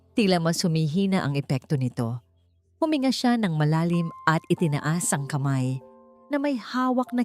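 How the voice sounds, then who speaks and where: A Filipino woman reads a dramatic audio story in a fairly low voice. Her delivery is deliberate and suspenseful, with pauses for weight. Light music plays behind her. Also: warm tone. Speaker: audiobook narrator. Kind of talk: dramatic scripted story narration. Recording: music bed under narration. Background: background music.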